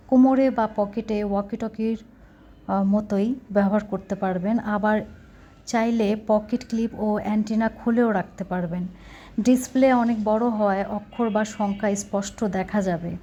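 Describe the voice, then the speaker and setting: A woman with a Bangladeshi accent presents a product in a scripted sales pitch. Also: mellow.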